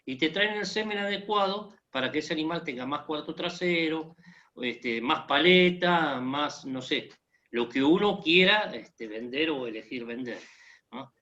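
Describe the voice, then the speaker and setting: Chatty Argentine man, bright tone, lo-fi call. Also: on the high side, animated, unhurried. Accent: Argentine Spanish. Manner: chatty, joking, many fillers. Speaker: guest speaker. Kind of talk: casual online conversation.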